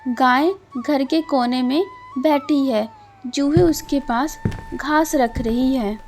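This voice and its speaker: A woman narrating a Hindi cartoon story in a gentle, measured, moralizing style. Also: high voice, faint music behind.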